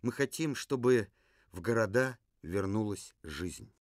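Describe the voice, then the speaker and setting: An earnest puppet survivor from a ruined future , voiced by a man, lecturing with lively rises and falls in his voice. The sound is dry, with almost no room around it. He hesitates now and then in the middle of a thought. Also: on the low side, a little slow, no room sound. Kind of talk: puppet theatre performance.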